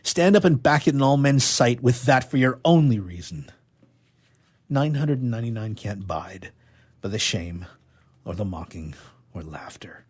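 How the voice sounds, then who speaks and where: A man, a radio theatre player, giving an expressive reading of verse. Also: mid-range voice, normal speed, slightly rough.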